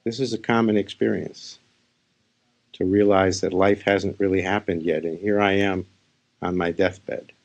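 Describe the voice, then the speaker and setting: A man speaking as a spiritual teacher, leading a guided meditation in short, unhurried phrases with pauses. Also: sits low, fairly even intonation, gravelly, muffled recording. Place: live session.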